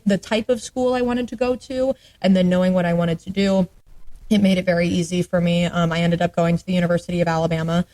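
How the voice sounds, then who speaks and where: A woman's voice: lowish voice, darkish tone, rough recording. A woman in her late twenties from the Atlanta area, a sports journalist chatting as a podcast guest in an upbeat way with lots of ums. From Atlanta area, Georgia. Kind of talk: casual interview chat.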